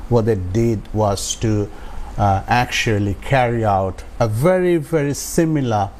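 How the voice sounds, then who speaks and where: A man in his sixties with a Bangladeshi accent speaks in a fairly low voice. He recounts his life to an interviewer in halting phrases, with some noise behind him. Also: a little mellow. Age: 60s. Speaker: interview guest. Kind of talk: personal storytelling in an interview.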